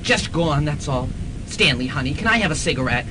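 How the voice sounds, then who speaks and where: A melodramatic middle-aged woman investigator, acted by a man, narrating a student radio serial. The voice sits fairly high in the male range and is wistful. The old recording is clearly noisy. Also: expressive, brisk, lightly acted. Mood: wistful, pleading.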